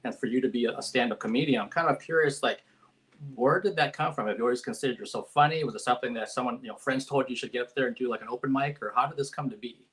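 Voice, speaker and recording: A man's voice: mid-range voice, very fast, a bit bright, low-quality recording. A man hosting a live-streamed interview over a video call, friendly and chatty, with many fillers and restarts. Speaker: interviewer, host.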